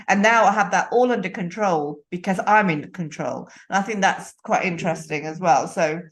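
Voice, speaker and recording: A woman in her late fifties hosting a podcast, talking in an upbeat, emphatic and animated way with her guest. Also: lowish voice. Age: late 50s. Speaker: podcast host. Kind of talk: interview conversation.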